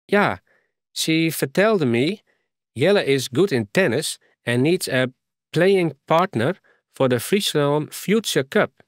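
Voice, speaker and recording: A man's voice: on the high side, expressive, takes it slowly, no echo, studio quality, voice pushed up. A man voicing a friendly young Ukrainian woman in a storybook reading, speaking with a Ukrainian accent and searching hesitantly for words. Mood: eager, halting.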